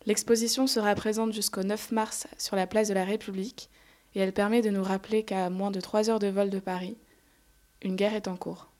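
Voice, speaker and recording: Female field reporter, flat measured read, street noise behind. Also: mid-range voice. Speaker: radio reporter. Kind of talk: scripted report narration.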